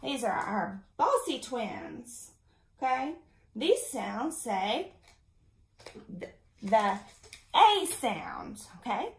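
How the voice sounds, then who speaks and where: A woman in her thirties giving young children a lesson, speaking as an encouraging, deliberate teacher. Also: fairly high pitch, sing-song, slight background noise. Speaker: elementary school teacher. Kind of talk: children's video mini lesson.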